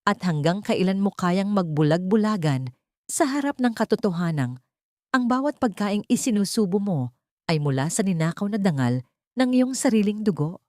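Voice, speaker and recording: A woman's voice: mid-range voice, expressive, normal speed, a little mellow, no room sound, studio quality. A woman storyteller reading a scripted dramatic audio story.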